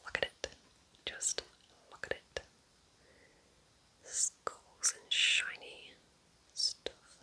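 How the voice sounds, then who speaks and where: A woman whispers breathily in a gentle, chatty ASMR roleplay as a kind witch at home in her cabin. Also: very slow, pauses often. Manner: gentle, chatty, informal asides.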